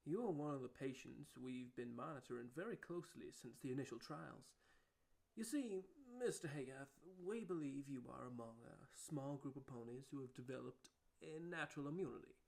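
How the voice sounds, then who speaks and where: A man playing a politely clinical, persuasive pony doctor in a scripted audio drama, with a creaky voice. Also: mid-range voice, moderate pace, darkish tone.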